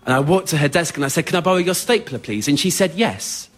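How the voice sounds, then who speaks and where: A man's voice: a little high, expressive, fast. A man performing a scripted comic monologue on a theatre stage for radio, in an understated, self-deprecating, earnest way. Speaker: writer-performer storyteller. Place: theatre stage before an audience.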